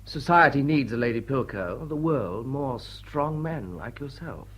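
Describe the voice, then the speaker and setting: Witty upper-class English rake, lively intonation. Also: male voice, mid-range voice, measured pace, rough recording. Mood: urbane teasing. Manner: urbane, dry, teasing. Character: dissipated, witty, cynical English aristocrat bachelor. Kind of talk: period drawing-room radio drama acting.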